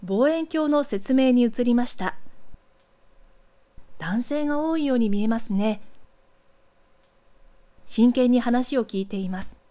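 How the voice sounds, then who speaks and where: High-pitched woman narrating an archive newsreel. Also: takes it slowly, long pauses, dark tone. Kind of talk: scripted documentary narration.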